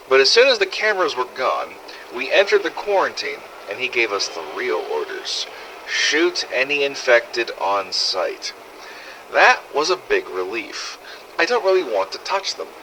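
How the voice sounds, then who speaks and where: A man's voice: mid-range voice, pushed and bright, a little hiss or hum, poor audio. A man playing a callous young soldier in a video game audio log, recounting brutal orders.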